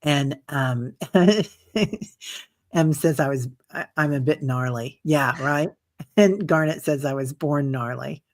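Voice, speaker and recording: A woman's voice: a bass voice, slow, no room sound, studio quality. A woman hosting an interview, who laughs often and gives brief, agreeable replies. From United States. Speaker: podcast host. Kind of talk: interview conversation.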